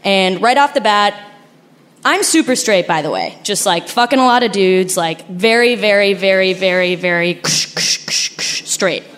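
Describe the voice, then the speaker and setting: A woman's voice: mid-range voice, moderate pace, slight background noise. A young woman from Argentina with an Argentinian accent, telling a frank, laughing story on a live stage. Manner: animated, laughing, frank and profane. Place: stage before a live audience.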